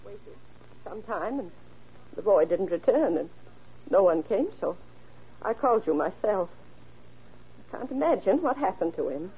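A woman's voice: medium pitch, lively intonation, measured pace, pauses often, husky, muffled recording. An actress playing an anxious, trusting older mother in a fretful, earnest old radio drama style. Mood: shaken, earnest. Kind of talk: vintage radio drama acting.

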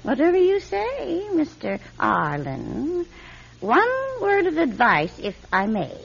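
An actress playing a nosy, prim, stubborn spinster neighbour in a radio drama, with fussy, affectedly genteel manners. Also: female voice, high-pitched, huge pitch swings, takes it slowly, creaky, heavily acted. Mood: eager, prying. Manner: fussy, mannered, flustered stammer giving way to pointed formality. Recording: dull, lo-fi radio drama recording.

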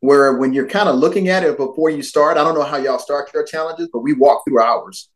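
A man's voice: fairly high pitch, a little fast, low-quality recording. A man co-hosting a podcast, talking casually and musing about the topic before asking a question. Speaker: podcast co-host. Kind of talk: casual chat.

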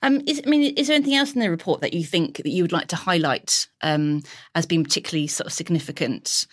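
Low-voiced woman host asking gentle questions. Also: quick pace. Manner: gentle, hesitant questions with many fillers. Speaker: podcast host. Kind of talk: interviewing guests.

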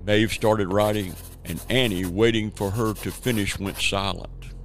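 A man serves as narrator of a scripted radio drama. His voice sits fairly low. There is faint noise and some clicking on the recording. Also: fairly even intonation, unhurried.

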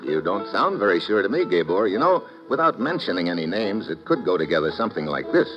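A man's voice: sits low, sing-song, quick pace. A man playing a tough, wisecracking American agent who also narrates the story in first person, in breezy hard-boiled radio drama style. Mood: needling. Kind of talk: 1950s radio drama acting. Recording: dull vintage radio broadcast with music.

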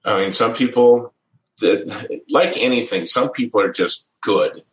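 A middle-aged man with a fairly low voice talks in a relaxed, easygoing way and chuckles often. He restarts his phrases as he thinks. His voice bounces around an echoey room. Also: unhurried. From American Midwest. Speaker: guest. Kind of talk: casual interview storytelling.

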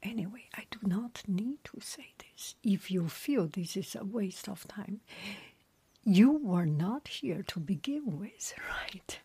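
A woman, not a native English speaker, whispering a relaxed chat and reading a story aloud with many hesitations. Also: mid-range voice, breathy edge.

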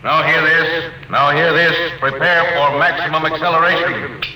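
A man's voice: on the high side, steady tone, normal speed, roomy sound, close to an everyday voice. An actor playing an obedient spaceship crewman making stiff, dutiful announcements in a classic radio drama. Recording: very noisy, distant old radio recording.

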